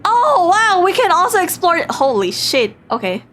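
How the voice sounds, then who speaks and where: A woman's voice: very high-pitched, big pitch swings, normal speed, a bit bright. A woman live-streaming a visual novel, reading it aloud expressively and playfully, breaking off into exclamations.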